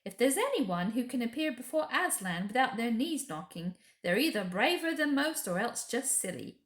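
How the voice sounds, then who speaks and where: A motherly storybook beaver lady, performed by a woman, plain-spoken, firm and folksy. Her voice is lifted in pitch and echoes in the room. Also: sing-song, moderate pace. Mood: frank. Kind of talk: children's storybook character voice.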